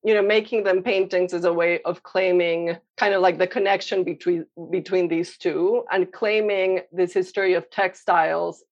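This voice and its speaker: A woman's voice: lowish voice, gravelly, dull audio. A young adult woman from Colombia, a painter answering interview questions in a thoughtful way, with many fillers and restarts. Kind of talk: reflective conversational interview.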